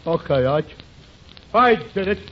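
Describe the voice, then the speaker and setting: An actor playing a slow-witted, earnest and bewildered sidekick in 1940s radio comedy. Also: male voice, fairly high pitch, lively intonation. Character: dim-witted, literal-minded male barfly pal. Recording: dull, noisy 1940s radio recording.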